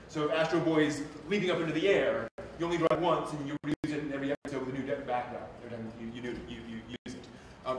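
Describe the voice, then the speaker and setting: Very echoey, higher-voiced man presenting. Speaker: live stream host and presenter. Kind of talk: informal lecture on anime history to an audience. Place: reverberant indoor room. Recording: very echoey room, distant mic, faint noise.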